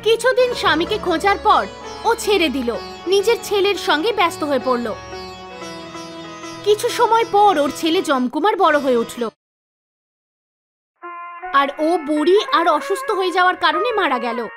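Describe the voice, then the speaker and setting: A woman narrator reading a scripted animated story for children. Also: very high-pitched, measured pace, pauses often, over music.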